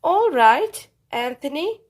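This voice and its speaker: A woman voicing a wise, firm old village witch in a storybook, stern and deliberate. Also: high voice, sing-song, voice pushed up, full character voice. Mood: stern, knowing.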